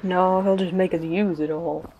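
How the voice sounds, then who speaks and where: A woman's voice: on the low side, fairly even intonation, normal speed, natural voice. A woman playing an obedient, laconic outlaw henchman in a western audio drama. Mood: dutiful. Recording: dull, slightly noisy recording.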